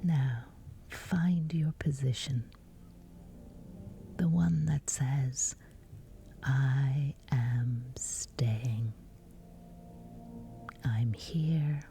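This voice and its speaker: Very slow, hushed woman guiding sleep meditation. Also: a bass voice, faint music behind. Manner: hushed, whispery, unhurried delivery with long pauses. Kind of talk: guided sleep meditation, scripted narration.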